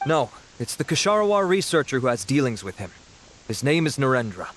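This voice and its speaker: A brisk security officer. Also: male voice, medium pitch, sing-song, fairly bright tone, a little hiss or hum. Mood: reporting. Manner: formal, brisk, reporting. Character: adult male security officer, formal and businesslike. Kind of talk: game cutscene voice acting.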